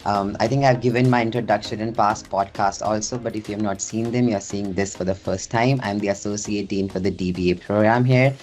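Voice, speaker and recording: A man's voice: lowish voice, steady tone, fairly quick, few pauses, darkish tone, soft background music. A man hosting a university podcast interview, chatty and friendly, with fillers. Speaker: podcast host, associate dean. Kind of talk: casual interview chat.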